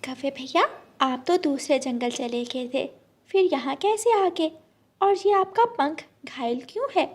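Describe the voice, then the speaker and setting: A woman voicing a kindly, trusting mother sparrow in a gentle, caring way for a moral-story cartoon. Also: sits high, expressive, a little slow, pitched above the performer's own voice. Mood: concerned.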